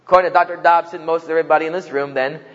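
A man's voice: a little high, steady tone, rapid-fire, long reverb tail, highs cut off. A man from New Jersey with a New Jersey accent, giving a humorous talk to students and acting out the voices in his stories. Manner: joking storyteller, acts out quoted voices. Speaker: campus speaker.

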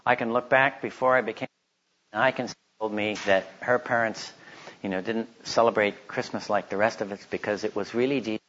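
A man giving informal religious teaching, telling stories and reading aloud in an animated way. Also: lowish voice, fairly quick. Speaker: Bible teacher giving a talk. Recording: slightly dull, lo-fi recording.

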